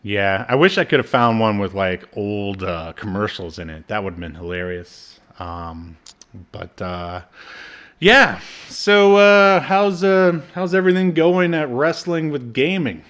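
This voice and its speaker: A man's voice: mid-range voice, expressive, unhurried, fairly bright tone, clear, resonant tone. A man co-hosting a podcast, giving an informal, joking review in casual conversation. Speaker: podcast co-host.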